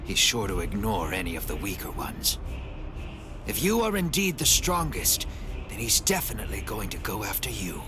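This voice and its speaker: An actor playing a proud, brash wounded fighter in a video game, with a gruff, mocking and dramatic delivery. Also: male voice, fairly low pitch, very animated, normal speed, music in the background. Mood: gruff, grave. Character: brash wounded young male warrior, proud and scornful. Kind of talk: English video game voice acting.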